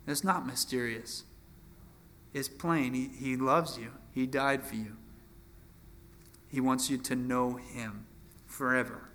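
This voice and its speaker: A man's voice: medium pitch, steady tone, slow pace, pauses often, pushed and bright, slight background noise. A man in his twenties teaching from the Bible in an informal sermon, conversational and self-deprecating, often restarting his phrases. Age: 20s. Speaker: preacher.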